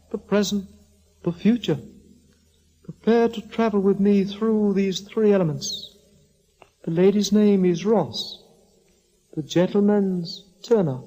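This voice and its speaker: An actress playing a solemn, theatrical fortune-teller madame in 1940s radio style, with a slow, commanding delivery. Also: female voice, medium pitch, dark tone, poor audio. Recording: old radio recording with music.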